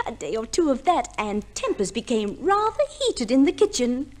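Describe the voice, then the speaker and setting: A high-pitched, swooping voice of a breezy upper-class wife in a vintage radio comedy. She is airy, theatrical and imperious, entirely pleased with herself. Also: very animated, a little slow, darkish tone. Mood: amused, confiding. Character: breezy, self-absorbed upper-class English wife with two husbands. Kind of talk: 1950s radio comedy play acting.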